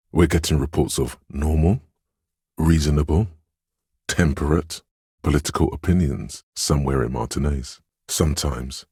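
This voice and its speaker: A man voicing a solemn, tender inner voice that reads others' feelings in a video game, measured and brooding in delivery. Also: a little mellow, dry recording, clean studio sound, full character voice. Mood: measured, grave. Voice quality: deep, husky, gravelly. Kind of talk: scripted video game narration.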